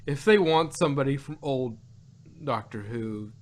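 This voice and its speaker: A man hosting a casual review chat, halting and rephrasing as he thinks out loud. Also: mid-range voice. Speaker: audio drama review podcast host.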